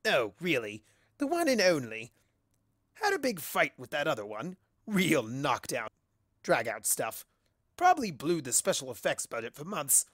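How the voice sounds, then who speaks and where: A man's voice: fairly high pitch, wildly swooping pitch, measured pace, a bit bright, no room sound, studio quality, heavily acted. A man voicing a mischievous male chaos monster, sardonic and theatrical, in a cartoon fan story. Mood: smug, teasing. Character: mocking, mischievous male chaos monster, showy trickster villain. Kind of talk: cartoon fan fiction character reading.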